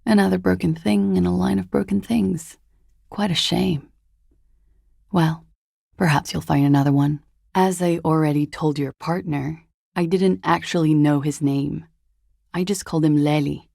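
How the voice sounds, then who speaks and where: Casting: hungover, guarded young disco dancer for a game. Mellow, dark tone, close dry sound, wry trailing delivery. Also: female voice, low voice, fairly even intonation, moderate pace, creaky, no echo, exaggerated voice. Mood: indifferent. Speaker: voice actor in a video game. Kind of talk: video game character dialogue.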